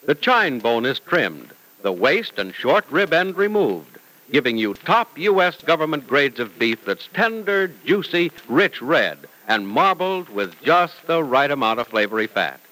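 Male vintage radio announcer, forceful bright tone, sweeping intonation, polished, emphatic. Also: mid-range voice, very animated, a little slow, poor audio. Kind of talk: old-time radio announcing and sponsor pitch.

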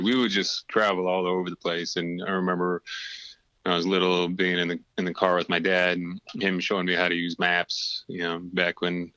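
Middle-aged man, deep-pitched and dry, easygoing storyteller with lots of fillers. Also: no echo, poor audio. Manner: relaxed, rambling storytelling with many fillers. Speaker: podcast guest. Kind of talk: casual chat.

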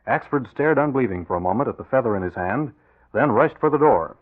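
A man's voice: medium pitch, expressive, fast talker. A man with an American accent announcing and narrating in scripted 1940s radio style. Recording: tinny, phone-like old radio recording.

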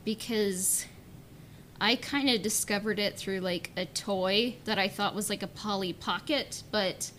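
A woman hosts a podcast with a bright, forward voice at medium pitch. Her delivery is casual chat, and there is faint noise behind her. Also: measured pace. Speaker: podcast host.

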